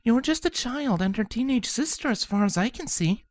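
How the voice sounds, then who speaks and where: A woman voicing a kind, gentle pony princess who speaks softly in a storybook reading. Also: fairly high pitch, expressive, normal speed, no echo, pitched above the performer's own voice, lightly acted. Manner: soft and gentle.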